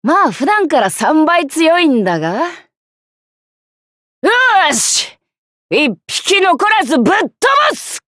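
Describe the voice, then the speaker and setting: A woman voicing a brash, cocky young tomboy knight with rough, swaggering speech for an anime game. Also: high-pitched, very animated, slow, long pauses, a bit bright, dry recording, studio quality, exaggerated voice. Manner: rough masculine slangy speech, boisterous, swaggering. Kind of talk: Japanese anime game character voice lines.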